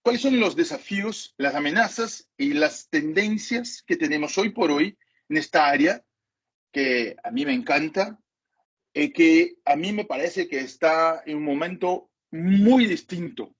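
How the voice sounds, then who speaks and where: Husky man lecturing in halting Spanish. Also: on the high side, expressive, a bit bright. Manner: hesitant, apologizes for his Spanish. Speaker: cybersecurity lecturer. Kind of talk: masterclass lecture. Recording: dull lo-fi recording.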